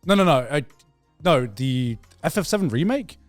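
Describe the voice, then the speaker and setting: Halting man in dry, casual podcast chat. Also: a little high, lively intonation, no echo, soft background music. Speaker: podcast co-host.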